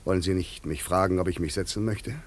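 A man's voice: low-pitched, fairly even intonation, fast talker, a little hiss or hum, close to an everyday voice. An actor playing a shivering, naked castaway in a German radio play, ironic and eloquent as he politely pushes his way in. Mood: wry, insistent. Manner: wry, eloquent, politely pushy. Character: shivering naked castaway man, ironic, insistent, uninvited guest.

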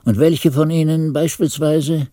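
A man's voice: on the high side, fairly even intonation, measured pace, warm tone, no echo, clean studio sound, natural voice. An actor playing an old monk narrating memories in a radio drama, musing and troubled as he searches his memory. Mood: reminiscing.

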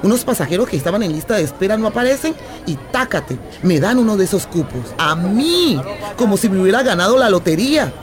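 An actress playing a restless, affectionate Colombian traveller in a radio drama, telling things in a playful, expressive, conversational way. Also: female voice, mid-range voice, brisk, few pauses, crowd noise, close to an everyday voice. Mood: excited, storytelling. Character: adventurous, restless, affectionate young Colombian woman stranded while travelling. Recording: remote recording with clear traffic noise.

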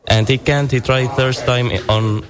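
Young Syrian man, halting English. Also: medium pitch, steady tone, crowd noise. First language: Arabic. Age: young adult. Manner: halting, searching for English words. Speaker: interview guest. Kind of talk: casual interview.

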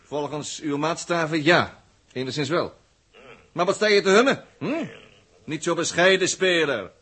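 An actor playing a bold, sardonic space adventurer in an old radio drama, mocking and emphatic as a stern inspector. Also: male voice, fairly high pitch, lively intonation, measured pace, sharp tone, breathy edge, full character voice. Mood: mocking authority. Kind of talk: 1960s radio drama acting.

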